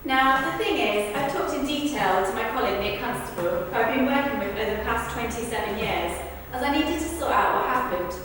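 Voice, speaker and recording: A woman's voice: a little high, expressive, brisk. A woman performing a conversational verbatim theatre monologue, recorded from a distance in an echoey rehearsal room. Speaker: stage performer. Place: large reverberant indoor rehearsal room. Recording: distant, echoey hall with background noise.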